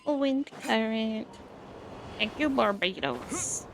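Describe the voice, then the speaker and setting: A woman's voice: a little high, big pitch swings, slow. Offhand woman streamer over game music. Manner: offhand muttering, wry asides. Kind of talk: casual livestream gameplay commentary.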